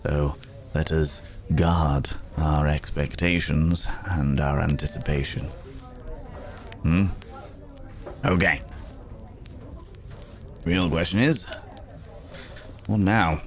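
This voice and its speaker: Very deep-voiced, courteous fantasy traveller, acted by a man. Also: slow pace, pauses often, heavily acted. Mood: pensive, cautious. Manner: genteel, formal wording, musing with hesitant hums. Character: courteous, world-weary werewolf traveller, a well-spoken man in fantasy. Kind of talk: ASMR roleplay acting, spoken to the listener.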